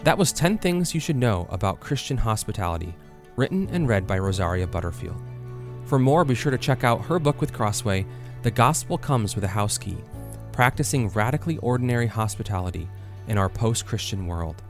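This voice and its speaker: Lowish male announcer over music. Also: expressive, fairly quick. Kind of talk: scripted promotional outro.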